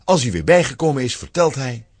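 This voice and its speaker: A man with a Flemish accent and a husky voice, narrating a children's audio drama in an expressive storytelling style. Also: mid-range voice, fairly quick, dry recording.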